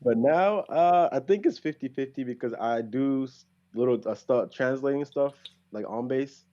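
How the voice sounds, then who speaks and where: A young man raised in Japan, a guest in a casual conversation, talking in a relaxed way. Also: medium pitch, normal speed, lo-fi sound. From Okinawa, Japan. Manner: relaxed, with short agreements and laughs.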